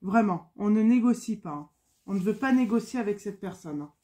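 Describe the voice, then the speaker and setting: A woman's voice: mid-range voice, animated, fairly quick, mellow. A woman with a cold, giving a casual spoken tarot reading with many fillers and repetitions. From France. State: has a cold. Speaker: tarot card reader.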